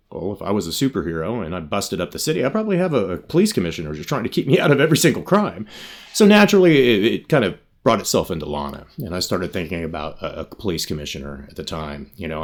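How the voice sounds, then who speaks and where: A middle-aged man telling stories casually in an interview, with an animated, emphatic and salty way of talking. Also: low-pitched. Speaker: writer and creator of a radio drama.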